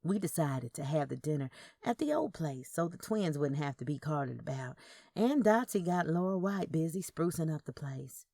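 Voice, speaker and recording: A woman's voice: sits low, animated, normal speed, dark tone, no echo. A woman narrating a first-person story, with character dialogue acted out. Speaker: narrator of audio fiction.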